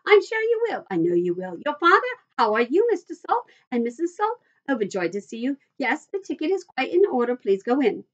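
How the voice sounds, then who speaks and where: A woman voicing an effusive, eccentric little male factory owner from a children's storybook, in a high, fluty voice and gushing bursts. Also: very animated, highs cut off. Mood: gushing delight. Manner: gushing, hurried bursts of exclamation.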